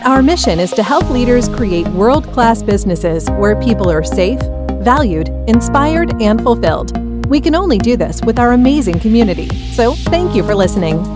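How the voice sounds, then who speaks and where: A woman reading a scripted podcast introduction in a lively, animated delivery. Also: a little high, moderate pace. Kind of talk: scripted intro over music. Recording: intro with loud music behind.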